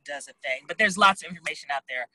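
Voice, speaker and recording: A woman co-hosting an online group discussion, explaining in quick bursts and encouraging questions. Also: low voice, animated, pushed and bright, no room sound. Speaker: co-host of a discussion salon. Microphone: online video call.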